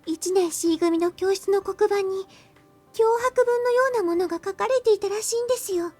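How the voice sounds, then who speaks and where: A woman's voice: very high-pitched, quick pace, soft background music. A woman voicing a perky, polite and eager schoolgirl underclassman in an anime-style visual novel. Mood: earnest, informing. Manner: polite, bright, deferential.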